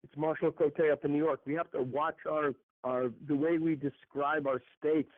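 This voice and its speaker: A man's voice: on the high side, level tone, moderate pace, no echo. A man giving an instructive, correcting comment as a marshal on a group call. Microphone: telephone. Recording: dry, thin phone line.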